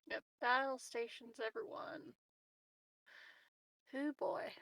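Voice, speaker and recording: A woman with a bright, fairly high voice talks slowly and casually as a game player. She sounds tentative, on a close, dry recording. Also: fairly even intonation, gravelly, dry recording. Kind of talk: casual game table talk.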